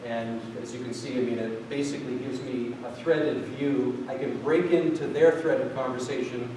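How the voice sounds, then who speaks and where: A man talks an audience through a live software demo, full of fillers and restarts. He is heard from a distance in a very echoey hall. Steady background noise runs under his voice. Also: mid-range voice, normal speed. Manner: rambling, lots of fillers and restarts. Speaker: product demo presenter. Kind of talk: live tech demo, casual. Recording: echoey hall from far off, noisy.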